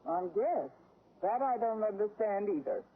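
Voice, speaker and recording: An actress playing a wry, sarcastic schoolteacher in an old radio sitcom, delivering dry wisecracks in a deadpan manner. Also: female voice, medium pitch, measured pace, breathy edge, exaggerated voice. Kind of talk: 1940s-50s radio sitcom acting and narration. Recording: noisy, tinny vintage radio broadcast recording.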